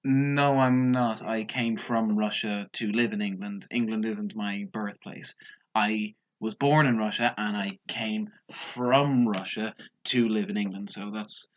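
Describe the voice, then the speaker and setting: A man's voice: lowish voice, fairly even intonation, a little slow. A man commenting on a TV clip in a casual, sarcastic and mocking way. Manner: sarcastic, mocking, mimics others. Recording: dull lo-fi sound.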